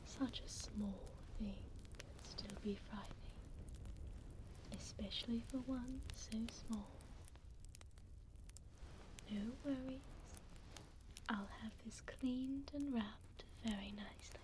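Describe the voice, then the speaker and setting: A tender, aristocratic vampire duchess, acted by a woman, whispers in a higher feminine voice for an ASMR roleplay. Her breathy delivery is very unhurried and soothing, close on the mic with some background noise. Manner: soothing, slow, elegant, tender. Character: gentle, refined vampire duchess tending a wounded puppy. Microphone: close binaural microphone.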